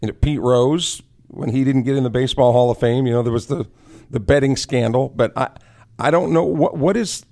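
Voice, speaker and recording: A man co-hosting a sports radio show, chatting casually and giving his opinions in deliberate phrases. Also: medium pitch, gravelly, mellow.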